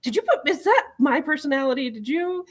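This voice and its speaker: A woman's voice: high-pitched, very animated, fairly quick. A woman from Colorado talking casually as an author and book club host. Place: live online video chat.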